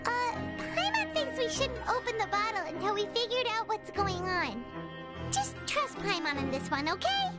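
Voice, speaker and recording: Game fairy companion: very high, squeaky, chatty and jumpy, animated delivery. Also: female voice, moderate pace. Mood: disgusted, wary. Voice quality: squeaky, childlike. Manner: exclamatory, refers to self in third person. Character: tiny childlike floating fairy companion, excitable, cowardly, chatty. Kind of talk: video game voice acting, cartoonish. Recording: loud game music behind voice. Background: game music.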